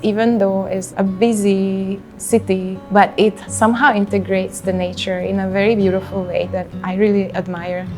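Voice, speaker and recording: A woman's voice: mid-range voice, level tone, moderate pace, hardly any pauses, a little mellow. A woman in her thirties with a Hungarian accent, speaking reflectively and unhurriedly in a personal interview. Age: 30s. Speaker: interviewee in a portrait documentary. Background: background music.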